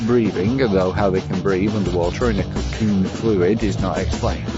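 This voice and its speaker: A man reading a scripted review as a podcast segment, measured and bookish in delivery. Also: on the low side. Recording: dull lo-fi recording over music. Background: music.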